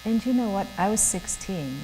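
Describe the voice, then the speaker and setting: A woman's voice: medium pitch, expressive, moderate pace, vocal fry, mellow. A middle-aged woman with a German accent gives a chatty, earnest talk to a church group and often laughs between thoughts. Her voice carries a long reverb from a large hall. A faint electrical hum sits underneath. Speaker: guest speaker. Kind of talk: church talk to young professionals.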